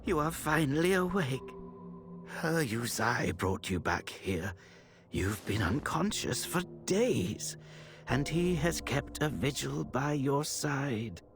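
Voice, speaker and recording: A grave, caring healer in a game cutscene. He speaks slowly and formally with a lively, expressive pitch over audible music. His manner is courteous and measured. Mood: grave, apologetic. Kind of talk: dramatic video game cutscene acting.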